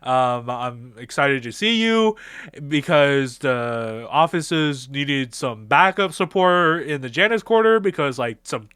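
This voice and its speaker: Man playing a calm, protective older brother. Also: mid-range voice, takes it slowly, clear, resonant tone, no room sound, studio quality. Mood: reassuring.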